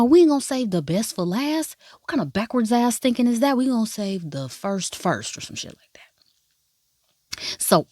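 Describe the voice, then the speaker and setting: A woman's voice: mid-range voice, no room sound, clean studio sound. A woman reviewing dramas in a casual vlog, talking straight to her viewers in an emphatic, playful way and swearing freely. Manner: talks straight to viewers, calling them baby and y'all. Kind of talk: casual first-impressions review.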